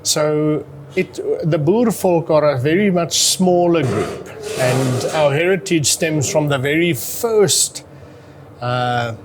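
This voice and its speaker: A man with an Afrikaans accent, a native Afrikaans speaker from South Africa, giving measured answers as an interview guest, pausing and clearing his throat. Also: fairly high pitch, background noise. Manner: deliberate, clears throat, pauses with uh.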